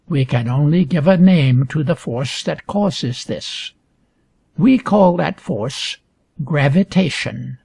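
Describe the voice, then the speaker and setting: A man reading a scripted educational story aloud for English learners with deliberate, emphatic phrasing. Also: on the high side, gravelly, a little mellow, husky, no room sound. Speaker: reader.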